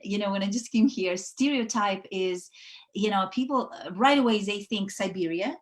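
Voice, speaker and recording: A woman born in the Soviet Union, Russian her first language, talking on a video call. Her voice sits at a mid pitch. She rambles in a friendly way and fills the gaps with "um" and "you know". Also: normal speed. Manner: many fillers and restarts, chatty. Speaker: guest, network founder. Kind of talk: casual conversation.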